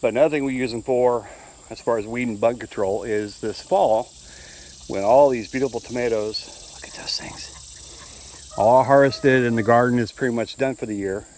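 A man filming his farmyard outdoors on a handheld phone, explaining at an easy, relaxed pace. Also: medium pitch, moderate pace, a little hiss or hum, rough recording. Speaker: homesteader showing his farm. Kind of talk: casual walk-through narration.

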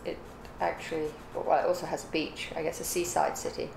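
Older woman, lowish creaky voice, unhurried reflective chat, home room sound. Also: flat delivery. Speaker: guest, the host's mother. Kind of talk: casual chat on a craft livestream. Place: living room or home craft room. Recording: echoey room, clear background noise.

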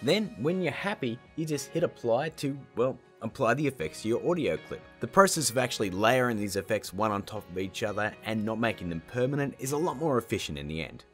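A man's voice: mid-range voice, expressive, a little fast, hardly any pauses, fairly bright tone. A man in his thirties presents a casual tutorial with music behind him. His voice moves up and down a lot. He is chatty and playful, with an informal way of guiding listeners through each step. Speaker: tutorial host. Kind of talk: casual scripted video tutorial.

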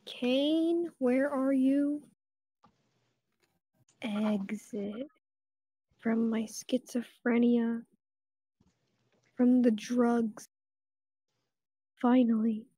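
A woman's voice: on the high side, steady tone, very slow, pauses often, mellow, no echo, rough recording. A woman voicing an anxious, foul-mouthed jester losing her mind in a comic cartoon fandub, repeating herself as she mutters. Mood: bewildered. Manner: swearing, repetitive, muttering to herself.

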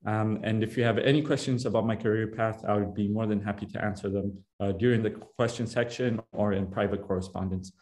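A man's voice: fairly low pitch, fairly even intonation, darkish tone. Young man from Ethiopia presenting online. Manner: friendly, halting with fillers. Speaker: grad student speaker. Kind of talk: online seminar talk. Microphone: video call.

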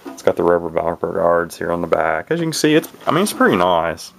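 Guy with a real low gravelly voice rambling about his old radio, laid back and folksy, lots of um and uh. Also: big pitch swings, fairly quick. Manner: rambling, folksy, many fillers and restarts. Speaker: radio hobbyist showing his gear. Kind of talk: casual show-and-tell, off the cuff. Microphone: camera mic, speaker off screen behind the camera.

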